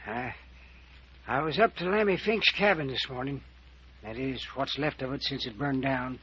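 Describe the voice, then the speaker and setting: An actor playing a gruff, plain-spoken, secretive older small-town man in old-time radio drama, terse and folksy. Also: mid-range voice, moderate pace, pushed and bright, slight background noise, natural voice. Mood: matter-of-fact. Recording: dull, band-limited old radio recording.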